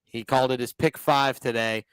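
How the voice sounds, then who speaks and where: A man's voice: medium pitch, steady tone, normal speed, fairly bright tone, clean tone, no room sound. A man hosting a sports betting podcast, easygoingly moving through the segments and reading out picks. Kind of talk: casual betting picks talk.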